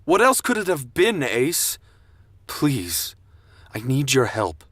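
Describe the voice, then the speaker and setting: A man's voice: mid-range voice, huge pitch swings, takes it slowly, fairly bright tone, no room sound, exaggerated voice. A young man with an American accent acting an earnest, urgent college student in a radio drama. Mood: pleading, urgent. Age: young adult. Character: intense young college man bent on exposing his uncle.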